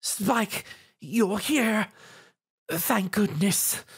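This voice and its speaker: A man's voice: high-pitched, animated, extremely slow, fairly bright tone, slightly rough, voice pushed up, exaggerated voice. A man voicing a refined, dramatic female fashionista pony with affected, genteel manners in a story. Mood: weary relief.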